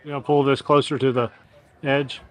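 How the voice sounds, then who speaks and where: A man's voice: medium pitch, flat delivery, moderate pace. A man in his sixties chatting casually in brief, dry, wry remarks. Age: 60s. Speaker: father helping as camera operator. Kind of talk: casual chat. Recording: noisy on-location audio from behind camera.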